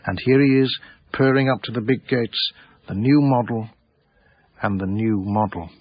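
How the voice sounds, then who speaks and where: An actor playing a wry, literary-minded man narrating his story in a radio drama, deliberate and knowing. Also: mid-range voice, a little hiss or hum, lightly acted. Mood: wry, storytelling. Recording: slightly dull, very dry radio recording.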